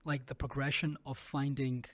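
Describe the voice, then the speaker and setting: A Scottish man hosting a podcast interview, friendly and quick to laugh as he asks loose questions. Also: mid-range voice, little pitch movement, no room sound. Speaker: podcast host. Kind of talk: casual interview chat.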